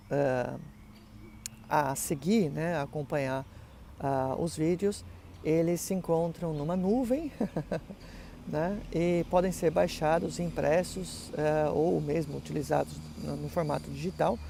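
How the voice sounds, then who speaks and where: A man's voice: a little high, warm tone, slight background noise. A middle-aged man teaching German, explaining how his online courses work in a conversational way, full of fillers and tag questions. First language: Portuguese.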